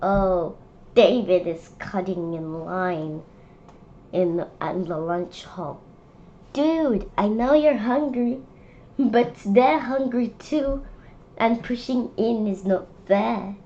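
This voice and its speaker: A young, school-age female reader presenting a picture book read-aloud with chatty, expressive commentary. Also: medium pitch, slow, highs cut off, a little hiss or hum. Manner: expressive, calls out quoted lines loudly.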